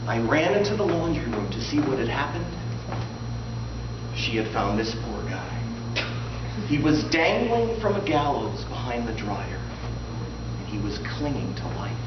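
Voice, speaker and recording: A man performs comic storytelling live, theatrical and deliberate, with pitch that swings widely and a slow pace full of pauses. He is recorded from a distance in an echoey room, with heavy noise over his voice. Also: lo-fi sound. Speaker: storyteller in a tall-tale contest. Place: indoor room before a live audience, recorded from afar.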